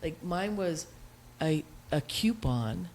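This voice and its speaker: A woman's voice: lowish voice, lively intonation, slow pace, darkish tone. A woman with a Boston accent, asking a question from the audience in a chatty, self-deprecating way. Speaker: audience member.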